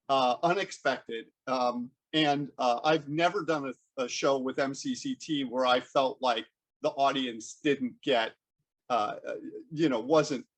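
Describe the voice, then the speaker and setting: A man hosting a conversational interview, speaking in a halting way and rephrasing as he goes. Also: medium pitch. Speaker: interview host.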